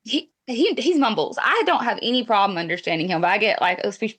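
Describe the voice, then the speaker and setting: A Southern woman from Mississippi, a guest on a podcast, talks candidly about her life. Her delivery is conversational and unpolished, with frequent halting restarts. Her pitch sits in a middle range. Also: sing-song, fairly bright tone. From Mississippi, USA. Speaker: reality TV guest. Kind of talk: casual interview chat.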